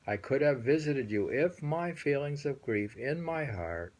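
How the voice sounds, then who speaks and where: A man reading translated poems aloud and musing on them casually, in a halting manner with repeated phrases and a husky, gravelly voice. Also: medium pitch, animated, darkish tone. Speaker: reader and host.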